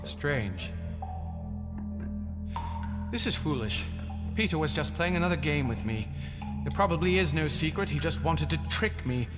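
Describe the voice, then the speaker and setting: An indignant, suspicious British banker, with a theatrical delivery that swings widely in pitch. He takes his time over his words in this audio drama, with music clearly behind him. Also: very animated, measured pace, long pauses. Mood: wondering. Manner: theatrical, muttering to himself, exclaiming.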